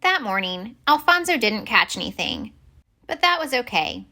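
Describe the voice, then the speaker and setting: A woman reading a children's picture book aloud as expressive story-time narration. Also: on the high side, moderate pace.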